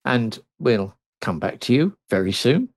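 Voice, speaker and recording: A man hosting a live radio show, talking conversationally. His pitch moves up and down in a lively, animated way. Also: a little slow. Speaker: radio presenter.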